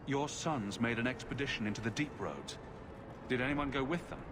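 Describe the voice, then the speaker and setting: A man's voice: mid-range voice, normal speed, noisy background, natural voice. An actor playing a flippant, sardonic male adventurer in a video game. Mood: questioning.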